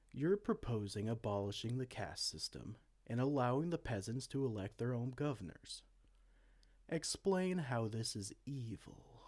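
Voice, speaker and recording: A man's voice: medium pitch, a little mellow. A man playing a dorky, petulant evil king in a comedic fantasy roleplay, pompous, theatrical and exasperated. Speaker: amateur roleplay audio performer.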